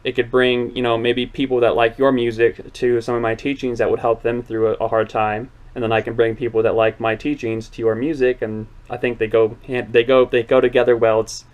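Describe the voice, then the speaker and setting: A man's voice: medium pitch, level tone, a little fast, few pauses, clear, resonant tone, dull audio. A man in his twenties hosting a casual interview chat, rambling and earnest with many fillers and restarts. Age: 20s. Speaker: channel host.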